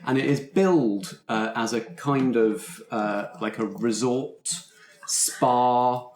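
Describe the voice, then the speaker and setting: A man's voice: medium pitch, slow, darkish tone. A man talking as a game master in casual explanatory chat, hesitantly thinking his way aloud. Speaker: game master and podcast guest.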